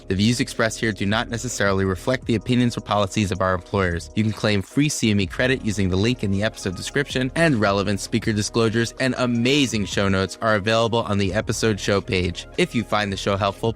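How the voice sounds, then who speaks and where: An enthusiastic male podcast host with a somewhat low voice moves briskly through the show notices and greetings. Soft music plays behind him. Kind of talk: reading a podcast disclaimer and welcome.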